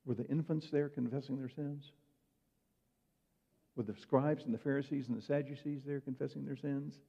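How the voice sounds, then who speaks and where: A man's voice: medium pitch, fairly even intonation, moderate pace, gravelly, mellow, slightly rough. Preaching man in a muffled echoing hall. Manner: conversational preaching with rhetorical questions. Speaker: pastor. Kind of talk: sermon.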